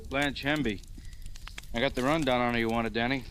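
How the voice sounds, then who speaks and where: An actor playing a brisk, wry police sergeant in an old radio crime drama, reporting in clipped slang. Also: male voice, mid-range voice, fairly even intonation, over music, natural voice. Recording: noisy vintage radio recording.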